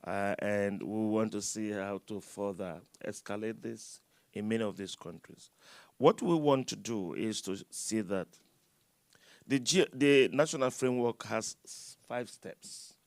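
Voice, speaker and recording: Loud, low-voiced man on a panel. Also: no room sound, clean studio sound. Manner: loud, halting, with frequent fillers and restarts. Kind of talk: conference panel remarks. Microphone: microphone.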